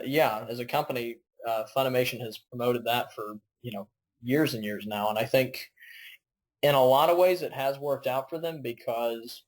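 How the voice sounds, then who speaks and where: A man speaking casually in an interview, explaining things in a halting way. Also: mid-range voice, poor audio.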